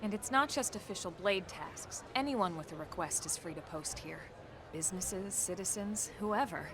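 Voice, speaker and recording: An actress playing a composed, level-headed female soldier and mentor in a video game, measured, matter-of-fact and gently reproving. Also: fairly low pitch, animated, noisy background. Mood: calm, informative. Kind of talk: video game cutscene acting.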